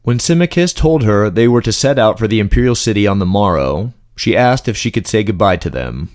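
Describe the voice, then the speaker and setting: A man's voice: fairly low pitch, animated, brisk, a little mellow. A man reading scripted fantasy story narration as an audiobook narrator.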